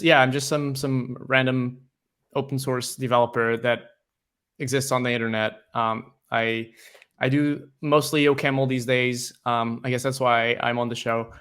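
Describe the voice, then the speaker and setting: Young man, bright forceful tone, halting restarts, casual guest chat. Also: medium pitch, fairly even intonation, smooth. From Portugal. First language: Portuguese. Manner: hesitant, many restarts and fillers. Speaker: guest, open source developer.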